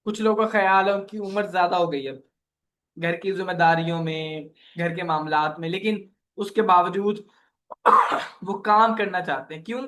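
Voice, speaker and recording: A man's voice: high voice, steady tone, moderate pace, a bit bright, slight background noise. A man leading a motivational workshop on earning online, talking earnestly like a preacher and often checking in with "okay". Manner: voices the listeners' questions and prayers.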